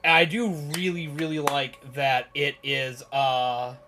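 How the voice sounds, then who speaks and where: A man livestreaming, chatting casually and rambling to viewers in an animated, emphatic, opinionated way. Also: fairly high pitch, takes it slowly, over music. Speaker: live streamer. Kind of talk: casual rambling chat with viewers while gaming.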